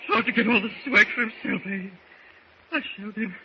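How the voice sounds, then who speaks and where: A man's voice: sits high, normal speed. A man playing a vicious dying sailor who gloats in gasping, broken phrases, in old radio serial style. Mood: dying, gloating. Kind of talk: 1940s radio serial acting. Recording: dull, hissy old radio recording.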